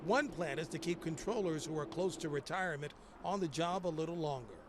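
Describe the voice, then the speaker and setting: A male TV field reporter with an American accent and a fairly high voice. He delivers news in a broadcast style, with clear outdoor traffic and airport noise around him. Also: brisk.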